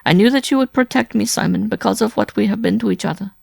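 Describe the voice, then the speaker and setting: A man's voice: high-pitched, expressive, moderate pace, gravelly, no room sound, studio quality, pitched above the performer's own voice, full character voice. A man voicing a frightened, worn-out wife in an audiobook, pleading breathlessly in an overwrought way. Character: desperate frightened wife, worn-out former circus performer. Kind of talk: audiobook character acting.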